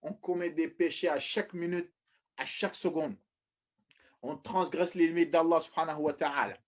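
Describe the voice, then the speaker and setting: A man giving a religious lecture, repeating phrases, often restarting, and addressing his listeners as brothers and sisters. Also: sits high. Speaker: preacher. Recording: dull lo-fi recording.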